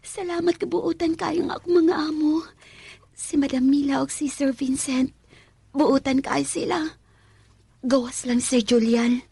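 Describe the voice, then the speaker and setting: A woman's voice: high voice, creaky, warm tone, no room sound. A woman with a Visayan Filipino accent playing a feisty, emotional housemaid in a radio drama, exclaiming, scolding and muttering to herself. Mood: anxious. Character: feisty, flustered female housemaid, indignant and protective of herself.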